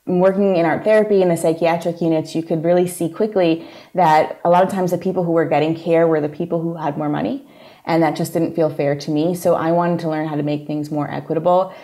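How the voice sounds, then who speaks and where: A woman with a New York accent talks through her work in a steady, plain-spoken way. Her voice sits low and has a mellow, soft tone. She is a guest speaking in a relaxed conversation. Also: fairly quick. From New York area, USA. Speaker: guest psychologist. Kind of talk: casual interview conversation.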